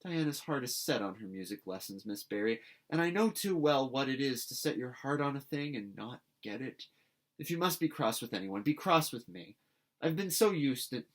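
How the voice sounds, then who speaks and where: A man's voice: mid-range voice, voice pushed up, lightly acted. A man reading a storybook as an earnest, dramatic orphan girl who pleads in formal, effusive speech. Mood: pleading.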